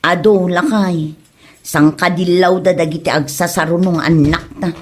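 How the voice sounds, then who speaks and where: A woman's voice: fairly low pitch, fairly even intonation, moderate pace, lightly acted. Woman acting a plaintive young Ilocano wife. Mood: concerned. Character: devoted, protesting young wife and mother. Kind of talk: Ilocano comedy drama acting.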